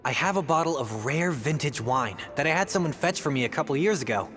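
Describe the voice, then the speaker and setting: A man's voice: a little high, lively intonation, a little fast, a bit bright. A man voicing Jack, a young rookie adventurer who is earnest, pleading and a bit sheepish, in a video game. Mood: persuasive. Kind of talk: studio video game voice acting. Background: game music.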